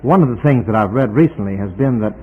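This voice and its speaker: Thin, noisy vintage radio sound carries a man working as an interviewer. His pitch moves around in an animated way as he asks long, conversational questions. He listens attentively and interjects often. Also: fast. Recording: noisy, tinny 1950s radio interview recording. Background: clear hiss and noise.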